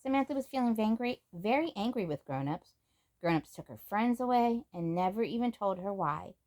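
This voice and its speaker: Female read-along narrator for children's fiction, mid-range voice, very animated delivery. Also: medium pitch, normal speed. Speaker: storybook reader. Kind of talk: expressive read-aloud narration of a children's book.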